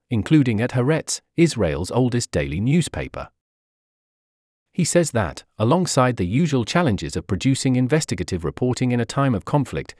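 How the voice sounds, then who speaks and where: A man reading a news article aloud in an even, measured way. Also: medium pitch, dry recording, clean studio sound. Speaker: article narrator.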